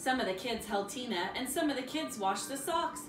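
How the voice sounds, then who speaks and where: A woman reading a children's story aloud in an expressive, rhythmic storytelling manner. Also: on the high side, fairly quick. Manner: expressive, rhythmic with repetition and sound words. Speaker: storybook reader. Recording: roomy, distant mic, music behind. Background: music.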